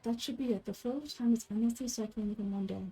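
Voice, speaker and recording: Casting: woman to play a young game adventurer, serious and reflective, soft mellow tone, lower and subdued. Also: fast talker, a little hiss or hum, natural voice, low-quality recording. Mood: pensive. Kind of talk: video game character dubbing.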